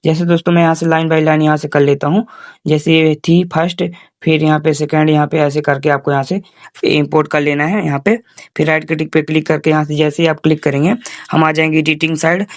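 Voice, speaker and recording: A man with a fairly high voice guides viewers through steps as a tutorial host. His delivery is informal but very even in tone, with repeated instructional phrases. The voice-over sounds close and dry. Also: brisk, no echo. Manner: repetitive instructional phrasing, addresses viewers as friends. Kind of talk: informal step-by-step screen tutorial.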